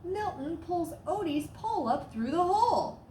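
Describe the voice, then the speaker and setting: A woman reading a children's storybook aloud as a storyteller, with expressive, dramatic emphasis. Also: very high-pitched, moderate pace. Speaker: staff storyteller. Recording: roomy echo, clear background noise.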